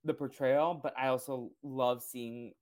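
Young male podcast host, first language Portuguese, mid-pitched, rambling casual chat. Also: unhurried. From Brazil. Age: 20s. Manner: relaxed, rambling, many fillers.

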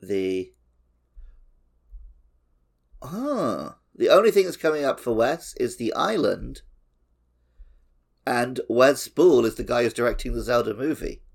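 A man's voice: mid-range voice, animated, slow pace, creaky, long pauses. A man co-hosting a podcast, chatting casually about video games. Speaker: podcast co-host. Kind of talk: casual two-man video game discussion.

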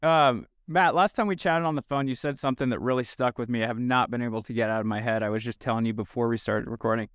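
Upbeat male podcast host, smooth dry voice. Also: medium pitch, quick pace, hardly any pauses, fairly bright tone, dry recording. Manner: upbeat, enthusiastic, with fillers and restarts. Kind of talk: casual podcast chat.

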